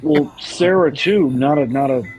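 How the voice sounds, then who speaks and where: Trivia-minded man, slightly high. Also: normal speed, music in the background, low-quality recording. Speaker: podcast co-host. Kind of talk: casual ride-through commentary.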